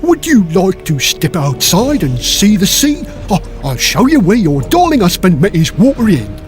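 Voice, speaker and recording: A man's voice: high-pitched, huge pitch swings, moderate pace, faint music behind. A man voicing a mean, scheming dwarf villain in an audiobook, muttering and impatient. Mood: falsely polite. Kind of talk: audiobook character voice.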